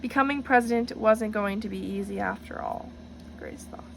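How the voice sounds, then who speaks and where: A woman reading a storybook aloud in an expressive, teacherly way, speaking directly to young listeners and asking them questions. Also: mid-range voice, normal speed, creaky, a little hiss or hum, poor audio. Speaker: reader for a children's read-aloud.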